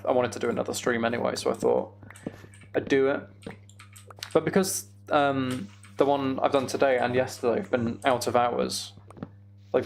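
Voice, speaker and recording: A man's voice: medium pitch, gravelly, slight background noise. A man streaming a video game, chatting casually and thinking aloud in a rambling way.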